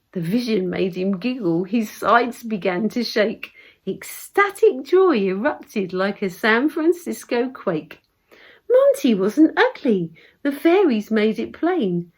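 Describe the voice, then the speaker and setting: A woman with a southern English accent reading her own rhyming story in an expressive storybook manner. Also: fairly high pitch. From Essex, England. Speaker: poet reading own work. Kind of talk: expressive storybook verse narration.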